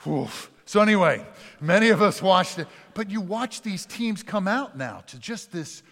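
A man preaching a sermon as pastor, talking conversationally and dropping from loud to hushed for emphasis. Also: sits high, animated, moderate pace. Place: church sanctuary. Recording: long reverb of a church sanctuary.

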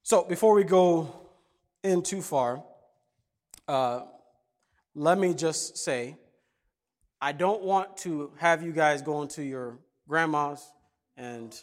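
Lively conversational preacher man. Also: fairly high pitch, takes it slowly, pauses often. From Kenya. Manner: conversational, jokey, animated. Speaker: pastor. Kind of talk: casual sermon with stories.

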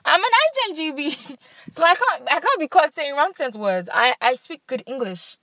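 A young woman with a Nigerian accent chats animatedly as a podcast host, her voice sitting a little high. The recording is dry and close, with a dull, lo-fi sound. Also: normal speed, no room sound. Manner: chatty, animated, laughs and switches into pidgin. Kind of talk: casual chat.

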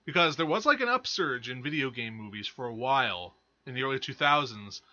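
Very bright, forceful man in casual conversation. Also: mid-range voice, animated, a little fast, lo-fi sound. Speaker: podcast co-host. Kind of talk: casual movie and game chat.

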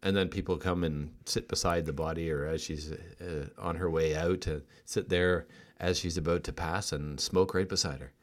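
Low-voiced man co-hosting a podcast. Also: steady tone, normal speed, few pauses. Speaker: podcast co-host, company co-founder. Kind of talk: casual podcast chat.

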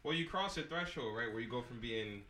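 A man's voice: mid-range voice, expressive, brisk, bright, forceful tone, roomy sound. A man chatting loosely with friends on a casual podcast, joking and projecting his voice. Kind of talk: casual chat among friends.

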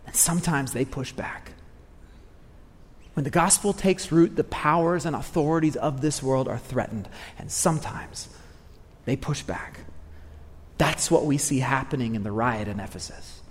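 A man's voice: mid-range voice, moderate pace, slightly rough, big-room sound. A man preaching a sermon, earnest and repeating phrases for emphasis.

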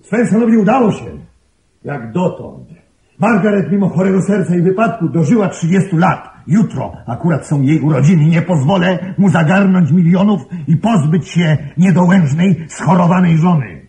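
An actor playing a hot-headed, defiant intruder in a radio play, protective and accusing, with an agitated, urgent delivery. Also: male voice, high-pitched, lively intonation, moderate pace, mellow. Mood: indignant. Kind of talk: Polish radio play acting.

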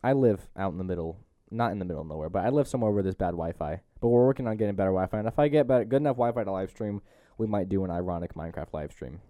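A man's voice: sits low, lively intonation, very fast, few pauses, no room sound, phone-quality sound. A young man hosting a podcast in casual, joking chat. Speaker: podcast host.

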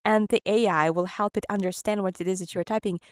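A woman's voice: medium pitch, steady tone, no echo, studio quality. A woman with a Ukrainian accent co-hosting a podcast, chatting eagerly about tech and explaining at length. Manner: enthusiastic, explains at length with quick restarts. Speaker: podcast co-host. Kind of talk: casual tech chat on a live podcast.

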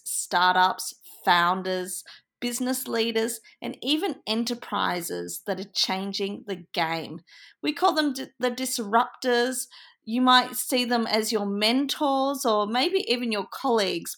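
A woman's voice: a little high, vocal fry, fairly bright tone. A woman hosting a business podcast, reading a prepared introduction in an upbeat way and then interviewing her guest. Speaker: podcast host.

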